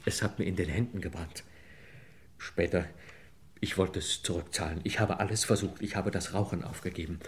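Actor playing a deep-voiced, desperate older clerk. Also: male voice, steady tone, natural voice. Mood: anguished, confessing. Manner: halting, pleading, apologetic. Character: desperate, pleading 62-year-old male clerk confessing embezzlement. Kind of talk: mid-century German radio crime drama acting.

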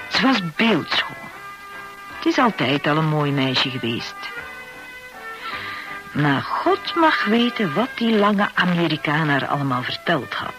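Slow, expressive gossipy landlady. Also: deep voice, exaggerated voice, low-quality recording. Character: gossipy, fond guesthouse landlady telling a story. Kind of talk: radio drama narration to listeners. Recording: old radio play with music.

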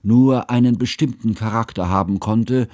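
An actor playing a stiff, pedantic, brooding scholar who narrates in a dry, formal, ponderous way in a radio play. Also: male voice, mid-range voice, normal speed, dark tone, dry recording, close to an everyday voice. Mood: grave, brooding.

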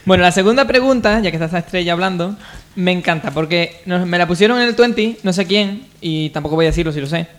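A man's voice: high-pitched, fast talker, hardly any pauses. A man with a Spain Spanish accent chatting casually as co-host of a comedy radio show. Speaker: radio show co-host presenting a listener segment. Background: faint music.

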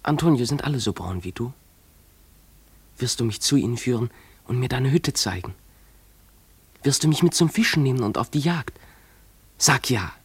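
An actor playing a dreamy, defiant runaway schoolboy in a German radio play, speaking in an imploring, declamatory way. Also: male voice, mid-range voice, lively intonation, moderate pace, long pauses, slightly rough, no room sound. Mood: fervent, hopeful.

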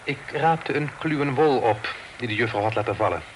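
Man acting a gallant young gentleman, noisy recording. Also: mid-range voice, lively intonation, fairly quick, lightly acted. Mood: polite. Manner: courtly, flowery, polite. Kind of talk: radio play acting. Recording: noisy old radio play recording.

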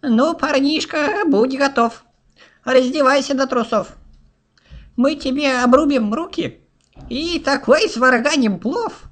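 A woman voicing a boastful, taunting three-hundred-year-old cannibal witch in a rhymed fairy-tale audio play. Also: high voice. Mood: menacing, instructive. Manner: boastful, taunting, slow rhyming delivery.

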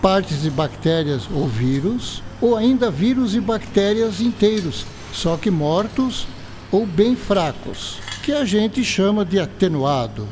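An actor playing an earnest, courteous doctor and scientist in an educational radio drama, speaking Brazilian Portuguese with a Brazilian accent. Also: male voice, sits high, sing-song, takes it slowly, darkish tone, slight background noise, full character voice. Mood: patient, explanatory. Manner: didactic, courteous.

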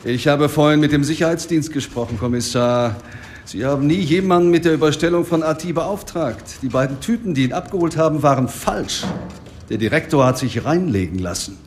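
An actor as a matter-of-fact police officer. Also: male voice, a little high, slight background noise, natural voice. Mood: factual. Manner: brisk, factual reporting. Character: matter-of-fact police colleague reporting to his inspector. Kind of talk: radio drama acting. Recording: faint background ambience.

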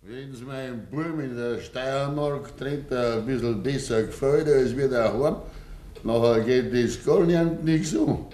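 An actor playing a stubborn, grieving old farmer with a Bavarian accent and quiet tenderness in a 1950s dialect radio play. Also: male voice, mid-range voice, a little slow, close to an everyday voice. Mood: stubborn, indignant. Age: older. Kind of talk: 1950s German dialect radio drama acting.

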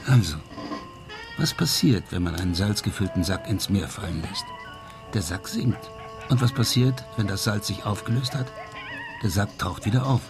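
An actor in a radio crime drama playing an amateur sleuth who reasons aloud in a didactic, questioning way. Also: male voice, on the low side, animated, normal speed, over music. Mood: explaining.

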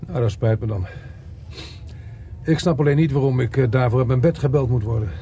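A man's voice: medium pitch, brisk, mellow, a little hiss or hum, close to an everyday voice. An actor playing a weary, sceptical policeman in a Dutch radio play, dry and grumbling, with a Netherlands Dutch accent. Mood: sleepy, annoyed. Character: weary, sceptical policeman narrating his own case.

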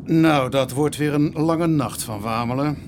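A man playing a weary, brisk, dryly sardonic police inspector in a radio drama. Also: a little high, noisy background. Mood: businesslike, dry. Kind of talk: Dutch radio drama acting.

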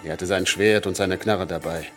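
A man's voice: low-pitched, fairly even intonation, normal speed, lightly acted. An actor playing a male cult killer in a radio play, giving a matter-of-fact confession. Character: male cult killer coolly recounting his crimes. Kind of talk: first-person radio play monologue. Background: clear music bed.

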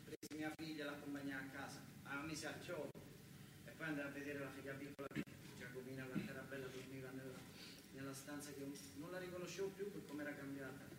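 A man's voice: medium pitch, little pitch movement, quick pace. A man with a southern Italian accent telling a first-person story as a theatrical monologue, colloquial and repeating phrases for emphasis. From southern Italy. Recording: very noisy, echoing distant stage recording.

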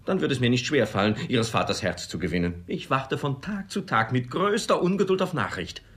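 Impassioned young suitor, with swooping intonation. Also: male voice, medium pitch, sing-song, a little fast, fairly bright tone. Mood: apologetic, impatient. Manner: theatrical, impassioned, courtly. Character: ardent, impetuous young suitor, flattering and well-spoken. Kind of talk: classical comedy radio play acting.

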